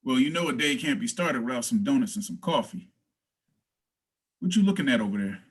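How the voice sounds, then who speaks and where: A man's voice: medium pitch, animated, a little fast, breathy edge. A man reading the part of a cocky, jovial veteran police officer in a film script read over a video call. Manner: boastful, breezy. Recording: faint noise, video call.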